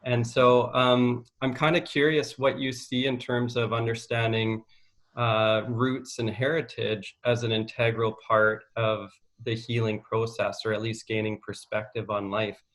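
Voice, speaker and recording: A man's voice: mid-range voice, level tone, a little slow, fairly bright tone. A man hosting an interview, asking careful, curious questions. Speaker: interviewer host.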